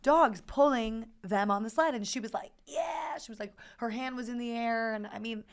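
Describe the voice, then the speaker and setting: Woman podcast co-host, hoarse and raspy, playful, quick to laugh. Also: a little high. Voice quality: raspy from yelling. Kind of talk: casual chat.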